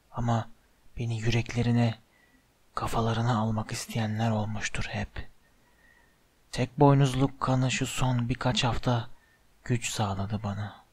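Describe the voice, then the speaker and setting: A man voicing a sinister, bodiless dark wizard in an audiobook, with a slow, menacing, hissing hush. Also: mid-range voice. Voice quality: hushed, breathy hiss.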